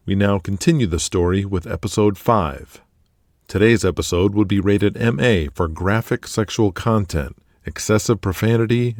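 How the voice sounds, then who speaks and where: A man hosting and narrating an audio drama podcast in a steady, measured storytelling manner. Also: fairly low pitch, no echo, studio quality. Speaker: narrator and host. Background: music.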